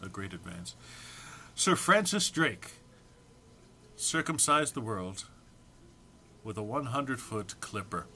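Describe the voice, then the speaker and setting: A man's voice: fairly low pitch, big pitch swings, takes it slowly, long pauses, bright, forceful tone. A man giving a theatrical, amused dramatic reading of a humorous written text, with emphatic phrasing. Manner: amused, prone to breaking into laughter. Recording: faint background noise.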